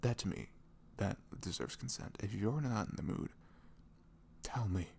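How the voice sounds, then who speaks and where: A man playing a sweet, caring boyfriend in an intimate ASMR roleplay, speaking softly and tenderly in a velvety, often breathy voice with earnest, rambling reassurance. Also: lowish voice, expressive, measured pace, a little hiss or hum. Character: sweet, caring, devoted young boyfriend talking in bed.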